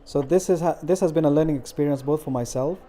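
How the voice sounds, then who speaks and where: Earnest middle-aged man, mellow voice. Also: fairly high pitch, slight background noise. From India. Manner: earnest, measured with frequent fillers. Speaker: interviewee, community organizer. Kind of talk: interview answers.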